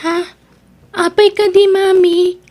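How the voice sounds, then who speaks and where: An adult playing a high-pitched pleading child. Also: little pitch movement, takes it slowly, darkish tone. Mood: bewildered. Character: pleading young child upset over parents separating. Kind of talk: Ilocano radio drama acting.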